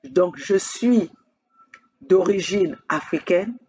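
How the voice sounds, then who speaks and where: Woman activist to camera: husky, slow, halting, insistent repetition. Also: fairly low pitch, animated, mellow, lo-fi sound. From Congo. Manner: emphatic, repetitive, halting with many fillers. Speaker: political activist vlogger. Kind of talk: impassioned address to camera. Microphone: speaking to own camera.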